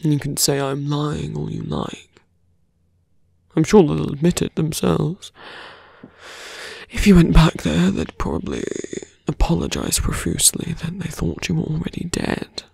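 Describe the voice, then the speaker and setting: Suave villain mage for fantasy ASMR roleplay, played by a man: very creaky, breathy, slow and deliberate, mocking with calm menace. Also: a little high, no echo, studio quality, heavily acted. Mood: smug, taunting. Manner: hushed, deliberate, condescending, often dropping to a whisper.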